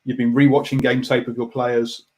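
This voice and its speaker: A man's voice: mid-range voice, level tone, moderate pace, a little mellow. A man hosting a sports radio interview, stammering through restarts while thinking aloud. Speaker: radio host.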